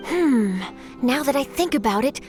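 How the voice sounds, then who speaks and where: A woman's voice: high-pitched, expressive, moderate pace, music in the background. A woman voicing a thoughtful, inquisitive young traveler in a video game, pondering and questioning as she speaks.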